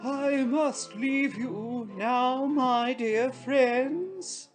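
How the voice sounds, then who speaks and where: A woman's voice: high-pitched, very slow, soft background music, pitched above the performer's own voice. A woman voicing an effusive, wheedling female medium as a storybook character. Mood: theatrical farewell. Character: gushing, coaxing female spiritualist medium.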